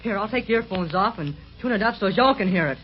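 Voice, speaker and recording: A performer playing an eager, excitable teenage boy adventurer in a 1930s radio serial. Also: medium pitch, fast talker, faint music behind, lightly acted. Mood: excited. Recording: muffled old 1930s radio recording.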